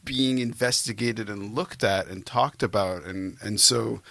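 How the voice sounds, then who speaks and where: A man's voice: on the low side, fairly even intonation, unhurried, creaky, pushed and bright, no room sound. A middle-aged man hosting a podcast, interviewing in a casual, informal and playful conversational way.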